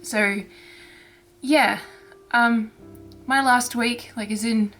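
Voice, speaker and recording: A woman hosting her own crafting vlog in casual chat, her voice hoarse and raspy from throat irritation, talking softly and haltingly with frequent restarts. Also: a little high, steady tone, faint music behind. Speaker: cross-stitch vlogger.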